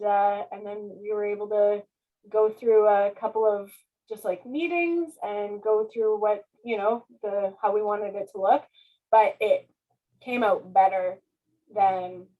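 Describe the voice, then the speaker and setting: A woman's voice: medium pitch, steady tone, measured pace, mellow, low-quality recording. A woman chatting casually as a guest author, effusive and self-deprecating. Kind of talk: casual question-and-answer chat.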